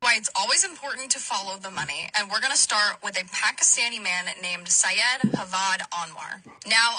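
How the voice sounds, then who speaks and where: A woman narrating an online news clip in a brisk, pointed way. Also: fairly high pitch, sharp tone, rough recording.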